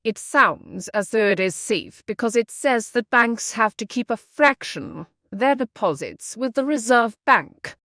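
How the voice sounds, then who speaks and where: Woman reading exam practice text out loud, really lively intonation, dry recording. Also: on the high side, measured pace, hardly any pauses, dry recording. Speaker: narrator of exam practice texts. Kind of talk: scripted read-aloud practice passages.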